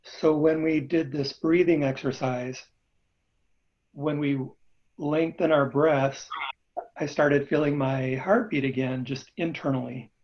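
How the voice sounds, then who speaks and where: A man speaking as a participant on a video call, calm and reflective as he talks things through. His audio sounds dull and lo-fi, like a basic call connection. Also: on the high side, slow. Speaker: workshop participant. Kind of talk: casual sharing on a video call.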